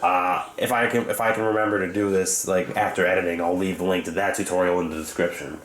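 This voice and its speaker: Male hobby vlogger, lowish pitch, casual and rambling, self-correcting, recorded in a reverberant home room. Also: level tone, fast talker, fairly bright tone. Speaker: hobbyist video host reviewing his radio collection. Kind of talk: unscripted casual show-and-tell commentary. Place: indoors in rooms at home. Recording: echoey room with faint background hiss.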